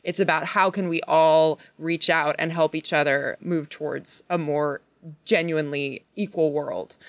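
A woman's voice: low-pitched, fairly even intonation, unhurried, no room sound. A woman guest on a podcast, talking conversationally in a thoughtful, earnest way as she searches for phrasing. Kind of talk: conversational discussion.

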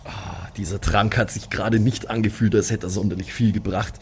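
A man's voice: fairly low pitch, fairly even intonation, fairly quick, darkish tone, a little hiss or hum. A man improvising casually as a player in a tabletop role-playing game, hesitant and resigned in manner. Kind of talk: casual improvised in-character play.

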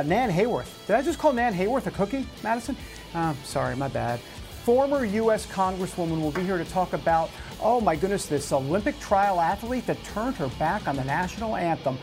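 A man's voice: high-pitched, big pitch swings, brisk, over music. A middle-aged man from New York hosting a talk radio show, rambling and joking with plenty of filler. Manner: jokey, rambling, lots of filler uhs.

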